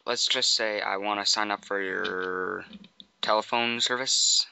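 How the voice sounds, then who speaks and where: A man's voice: on the low side, fairly even intonation, a little slow, vocal fry, pushed and bright, smooth, dry recording. A man making a casual prank phone call, informal and provocative, acting clueless. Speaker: prank caller.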